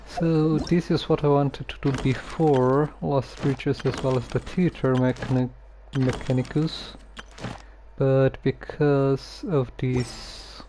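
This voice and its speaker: Man, game livestreamer, mellow voice, slow halting casual talk, light noise. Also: medium pitch, fairly even intonation. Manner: halting, hesitant. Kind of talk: casual livestream chat while playing.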